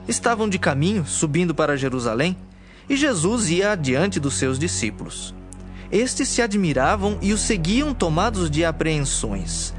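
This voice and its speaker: A man's voice: a little high, big pitch swings, normal speed. A man with a Brazilian accent narrating scripted, dramatized scripture. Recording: clear music bed behind the voice.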